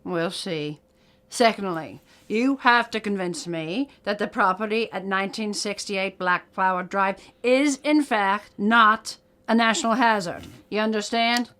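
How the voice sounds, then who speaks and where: A woman's voice: mid-range voice, unhurried, a bit bright, natural voice. Woman acting older small-town lady judge, folksy but strict, schoolmarm way of talking, radio drama. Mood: stern, formal.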